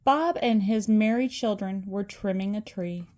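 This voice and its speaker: An older woman narrates a children's storybook like a grandmother reading aloud. Her pace is slow and deliberate, and her voice sits at an average pitch for a woman. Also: darkish tone, a little hiss or hum.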